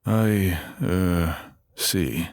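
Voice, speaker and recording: An actor playing a polite, diffident wood elf minstrel in a fantasy audio drama, with a hesitant, courteous manner. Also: male voice, sits low, drawn-out words, darkish tone, no room sound, heavily acted.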